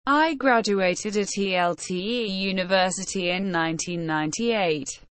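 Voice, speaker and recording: Woman, slow careful phrase reading, dry close sound, lesson narration. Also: medium pitch, fairly bright tone, dry recording. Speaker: English phrase reader.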